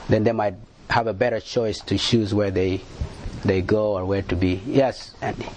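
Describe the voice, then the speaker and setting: A man answering questions on a panel in a halting way, often repeating words and restarting. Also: on the low side, fairly even intonation, background noise. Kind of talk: panel discussion.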